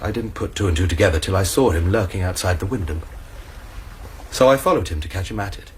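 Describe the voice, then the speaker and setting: A man's voice: deep voice, quick pace, slight background noise, close to an everyday voice. An actor playing an anxious, agitated young male student in a radio drama. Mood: earnest recounting. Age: young adult.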